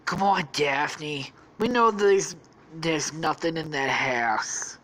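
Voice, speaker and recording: A woman voicing a gruff, deadpan young woman with a manly voice. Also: low-pitched, full character voice. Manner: slow, deadpan and defensive.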